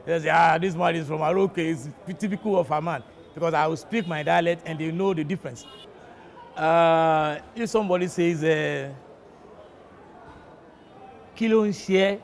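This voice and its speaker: A Nigerian man from Lagos State with a high, gravelly voice. He explains things in an animated way, often mimicking how others speak. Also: moderate pace, pauses often, some chatter behind. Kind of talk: interview answers.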